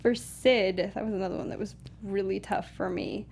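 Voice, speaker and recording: A woman's voice: medium pitch, fairly even intonation, normal speed, vocal fry, a little mellow, a little hiss or hum. A woman co-hosting a casual discussion show, chatting with a giggly manner. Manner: giggly, laughs often while talking. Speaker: co-host of a movie discussion show. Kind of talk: casual chat.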